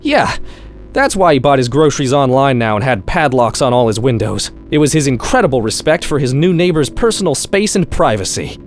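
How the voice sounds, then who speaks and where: A man's voice: medium pitch, big pitch swings, brisk, slight background noise. A man reading a scripted short story in a wry, sardonic storytelling manner, like a horror-comedy audiobook. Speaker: author-narrator reading own short story.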